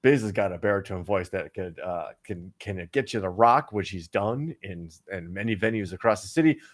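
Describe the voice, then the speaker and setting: A man with a lowish voice co-hosts a casual podcast. He rambles with plenty of fillers and restarts. He breaks into sudden excited bursts. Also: normal speed. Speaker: co-host.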